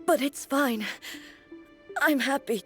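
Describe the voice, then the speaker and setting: An actress playing a stoic, fearless but weary young female warrior in a video game, speaking in a halting, deliberate way. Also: high-pitched, soft background music. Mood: strained, determined. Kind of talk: dramatic video game voice acting.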